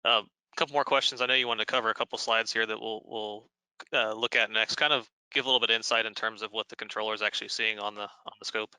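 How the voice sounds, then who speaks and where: An American man hosts a conversational Q&A in a very bright, clean and crisp voice. His pitch stays fairly even as he builds detailed questions in a measured way. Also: no echo, poor audio. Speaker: host interviewer. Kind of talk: conversational moderated Q&A.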